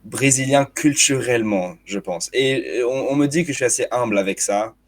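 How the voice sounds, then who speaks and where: A man's voice: mid-range voice, moderate pace, slight background noise. A young man with a slight, hard-to-place foreign accent, talking as a guest in a casual discussion and often restarting his sentences. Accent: slight hard-to-place foreign accent in French. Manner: hesitant, many restarts and repeated words.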